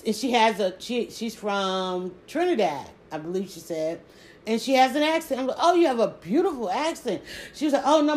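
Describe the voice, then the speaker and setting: A woman with a Southern accent chatting casually on a live stream, talking quickly and restarting her sentences often. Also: a little high. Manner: rapid, stumbling restarts, animated emphasis. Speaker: social media creator.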